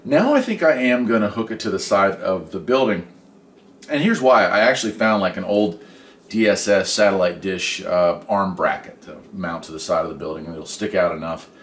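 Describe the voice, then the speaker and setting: A middle-aged American man talks casually through a hands-on demonstration in a lowish, folksy voice. He sounds some distance from the mic, in a very echoey room. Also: lively intonation, normal speed. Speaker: host. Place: indoor home workshop and garage.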